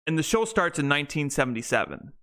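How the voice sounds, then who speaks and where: A man's voice: fairly high pitch, sing-song, fast, a bit bright. A young man co-hosting a podcast, chatting casually and rambling with many restarts and fillers. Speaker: podcast co-host. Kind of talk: casual chat.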